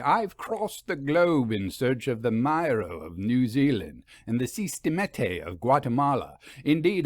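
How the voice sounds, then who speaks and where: Man playing an eager English professor, lively pitch. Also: dry recording, clean studio sound. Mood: enthusiastic. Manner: scholarly, persuasive. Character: frank, eager English professor and creature hunter, male.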